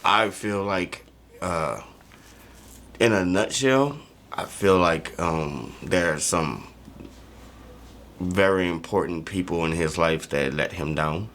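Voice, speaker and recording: Low-voiced American man, casual and hesitant. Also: slow pace, long pauses, a bit bright. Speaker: music video reaction co-host. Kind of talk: casual reaction chat.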